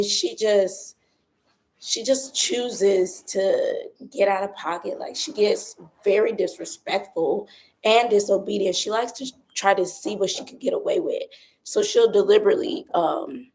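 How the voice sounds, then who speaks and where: A young woman chats casually on a live stream, her voice raspy and hoarse from a cold. She keeps it soft and doesn't push for volume. She is recording on her phone outdoors by her patio. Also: mid-range voice, rough recording. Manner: talks softly, holding back her voice. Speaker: vlogger doing a live stream. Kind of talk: casual chatty live update.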